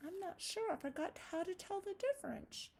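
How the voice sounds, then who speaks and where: Woman as a small male spider puppet, high voice, eager, unsure, curious, children's puppet talk. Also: animated, brisk, vocal fry, darkish tone, full character voice. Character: friendly, inquisitive spider puppet, a little male creature.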